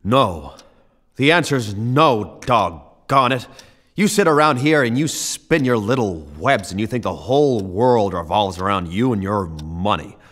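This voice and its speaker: An actor playing an earnest, excitable young small-town American man, stammering and folksy, in a radio play. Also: on the low side, measured pace. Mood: indignant. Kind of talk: old-fashioned radio play acting.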